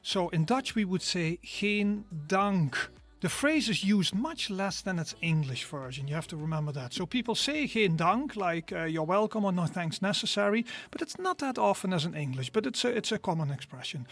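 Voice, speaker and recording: Man teaching a slow, careful lesson. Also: sits high, expressive, fairly bright tone. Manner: slow, careful explanations with drawn-out example words. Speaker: language teacher. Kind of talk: scripted online course lesson.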